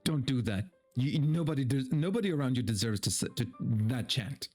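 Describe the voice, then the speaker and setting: A man's voice: medium pitch, lively intonation, normal speed, darkish tone, faint music behind. A man doing a dramatic story reading for a stream, mock-serious and breaking into incredulous, sweary commentary.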